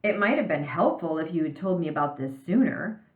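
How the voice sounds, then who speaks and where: A woman's voice: fairly low pitch, lively intonation, lightly acted. Storybook principal, female, voiced by a woman reader: stern, decisive, authoritative, in an echoey, muffled room. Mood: displeased. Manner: measured, authoritative.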